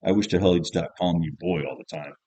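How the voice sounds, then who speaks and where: A man's voice: deep voice, fast, warm tone, no room sound. A man reading as a jaded, cynical teenage schoolboy, narrating an audiobook in the first person in a colloquial, grumbling, offhand way.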